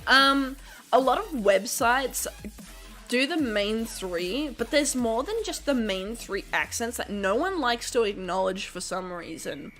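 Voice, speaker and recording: A woman's voice: fairly high pitch, animated, unhurried, fairly bright tone, faint music behind. An Australian woman with a casual Australian accent, chatting on a livestream as a VTuber. She talks loosely, laughs often and swears freely. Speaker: VTuber streamer.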